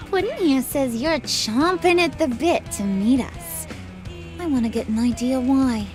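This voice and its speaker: An actress voicing a flirty, menacing, unhinged female villain in a video game, with a teasing, slangy delivery. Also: high-pitched. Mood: mocking. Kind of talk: animated video game acting.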